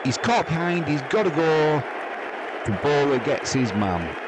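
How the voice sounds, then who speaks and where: A man's voice: on the high side, very animated, measured pace. An older British man doing casual, chatty live cricket commentary in a rambling, conversational way. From United Kingdom. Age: 50s or older. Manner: rambling, conversational, reminiscing between calls. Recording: crowd noise behind the voice. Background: sports crowd.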